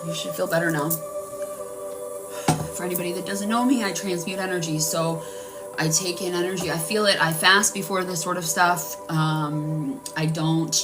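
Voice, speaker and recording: A woman's voice: on the low side, steady tone, moderate pace, recorded from a distance, poor audio. A woman hosting a live-streamed healing session, talking in an earnest, rambling way and guiding listeners through their breathing. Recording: voice a bit off-mic with music playing.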